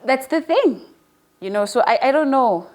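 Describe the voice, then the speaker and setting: A woman's voice: high voice, animated, normal speed, a little mellow. A woman in her early twenties talking casually as an interview guest, telling personal stories in a chatty way. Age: early 20s. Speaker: newly appointed online show presenter being interviewed.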